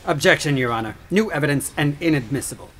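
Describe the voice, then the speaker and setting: A man's voice: on the high side, animated, quick pace, fairly bright tone, a little hiss or hum. A man playing a scornful, pompous government attorney in an audio courtroom drama, formal and combative. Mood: objecting.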